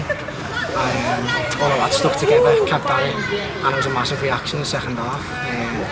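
A young man, a footballer giving a hesitant post-match interview outdoors. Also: mid-range voice. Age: young adult. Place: outdoors on an empty football pitch. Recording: distant mic, loud outdoor noise.

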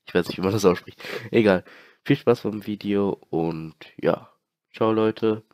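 A man's voice: fairly low pitch, unhurried, a little mellow, no room sound, clean studio sound. A young man with a heavy cold, hosting a casual let's play and rambling with many fillers. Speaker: channel host, let's player. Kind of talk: casual gaming chat.

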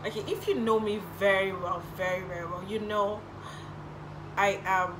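A woman's voice: medium pitch, fairly even intonation, normal speed, background noise. A young woman with a Nigerian accent, a native Igbo speaker, chatting casually through get-to-know-me questions in her bedroom, recorded on a camera in front of her. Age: young adult. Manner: chatty, answers questions with little laughs. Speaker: YouTuber. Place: bedroom, indoors. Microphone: camera in front at desk distance.

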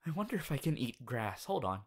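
A woman voicing an affectionate, excitable young male anime hero turned bunny in an ASMR roleplay, with a soft, hesitant delivery. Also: a bass voice, brisk, breathy edge, full character voice. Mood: amazed, excited. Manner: hesitant, giggly, swinging from murmurs to excited exclamations. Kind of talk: ASMR audio roleplay, acted monologue.

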